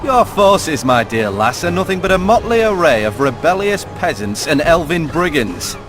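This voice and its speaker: Sneering game monarch, swooping intonation, condescending, theatrical, music behind. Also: male voice, fairly high pitch, big pitch swings, moderate pace. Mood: scornful. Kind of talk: video game dramatic dialogue.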